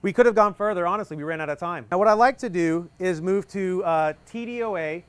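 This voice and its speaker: A man's voice: high voice, a little fast, a bit bright, clear, resonant tone, dry recording. Casual joking man presenting a demo. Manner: casual, joking, explains step by step with many fillers. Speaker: presenter at a live equipment demonstration. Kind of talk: live technical demo talk. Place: outdoor tent at a desert field demo.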